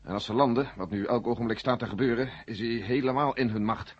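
An actor in a 1970 Dutch radio play, playing a grave, weary general who gives clipped commands from mission control. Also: male voice, medium pitch, steady tone, quick pace, breathy edge, natural voice. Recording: dull, very noisy old radio recording.

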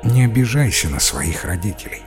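A man voicing a kindly but gently stern priest who counsels in a calm, encouraging, preachy way. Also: lowish voice, fairly quick, over music. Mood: gentle, consoling.

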